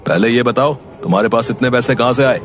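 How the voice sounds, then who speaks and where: A man's voice: mid-range voice, fast talker, soft background music, lightly acted. A man voicing a blunt, skeptical, exasperated mason in a comedy cartoon, often questioning in disbelief. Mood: incredulous. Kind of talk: comedy cartoon story acting.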